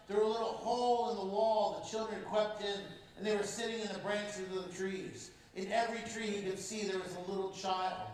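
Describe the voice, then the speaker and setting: Expressive man reading a story in church. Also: high-pitched. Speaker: guest speaker at a parish mission. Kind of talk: reading a storybook aloud to a congregation. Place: large church. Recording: echoey church heard from far off.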